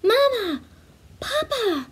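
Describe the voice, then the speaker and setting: A woman voicing a sweet, eager young female reindeer in a storybook, with breathy, tender exclamations. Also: extremely high pitch, very animated, slow pace, pitched above the performer's own voice, full character voice. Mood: excited wonder.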